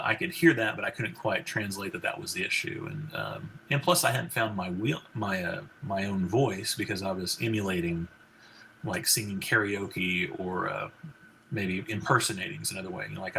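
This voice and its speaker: A man in his forties with a baritone voice, a physician and country singer talking as an interview guest, rambling with many fillers and restarts. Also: normal speed, creaky, sharp tone, slight background noise. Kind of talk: casual interview conversation.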